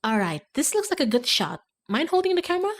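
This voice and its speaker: A woman's voice: high-pitched, sing-song, fast, voice pushed up. A woman voicing an eager, determined young female video maker who hunts monsters, earnest and insistent, in a game. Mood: conspiratorial, eager.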